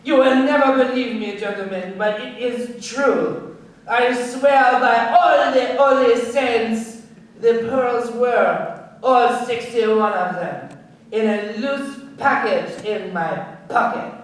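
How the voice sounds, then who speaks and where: Radio play role: cornered Frenchman male actor, French accent, pleading and courteous, unhurried, very roomy sound. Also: extremely high pitch. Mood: desperate, pleading. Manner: formal, pleading, protesting. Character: flustered, frightened Frenchman, cornered pearl smuggler. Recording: echoey room, far from mic.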